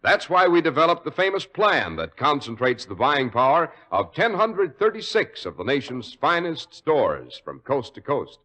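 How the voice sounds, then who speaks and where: A male announcer doing smooth vintage radio ad patter and story links. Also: on the high side, sing-song, moderate pace, hardly any pauses, a bit bright.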